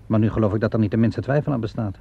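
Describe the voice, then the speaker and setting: Gravelly, dryly courteous police inspector. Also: male voice, lowish voice. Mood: probing. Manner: measured, polite questioning with hesitant interjections. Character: calm, courteous, dryly wry male Scotland Yard police inspector. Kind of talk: vintage Dutch radio play acting. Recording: dull lo-fi vintage radio recording.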